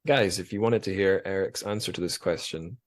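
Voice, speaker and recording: A man's voice: deep voice, brisk. A man in his thirties hosting a casual podcast, talking in an informal, reflective way with some swearing. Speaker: podcast host.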